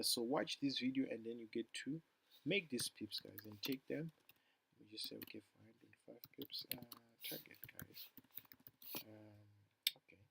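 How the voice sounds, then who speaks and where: A man casually talking through a forex chart on a screen recording, rambling and calling his viewers "guys", his loudness swinging from loud to mumbled. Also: mid-range voice, noisy background. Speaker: forex trading tutor.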